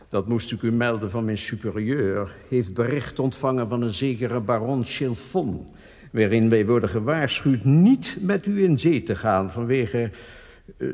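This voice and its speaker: An actor playing a deferential, courteous clerk of a notary in a historical radio drama, formal and obliging. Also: male voice, mid-range voice, a little mellow, big-room sound. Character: deferential, polite notary's clerk showing a house.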